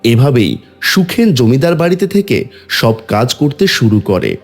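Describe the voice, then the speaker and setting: A man's voice: mid-range voice, very animated, moderate pace, faint music behind. A man delivering scripted dramatic narration as the narrator of a tale.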